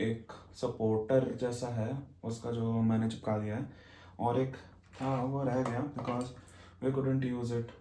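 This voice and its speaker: A man's voice: medium pitch, warm tone. A man with an Indian accent giving a casual, explanatory gear review as a vlogger, switching between Hindi and English mid-sentence. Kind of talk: casual unboxing and review talk. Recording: roomy echo, noticeable background noise.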